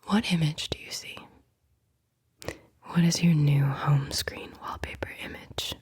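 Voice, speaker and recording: ASMR host: woman, low breathy whisper, playful and teasing, close mic. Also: fairly even intonation, a little slow, darkish tone. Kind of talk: whispered ASMR guided visualization.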